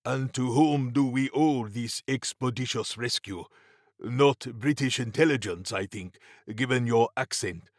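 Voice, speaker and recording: Erudite gentleman for horror audiobook, read by a man: formal, precise, composed, dry close recording. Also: medium pitch, a little slow, no room sound, lightly acted. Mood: composed, inquisitive.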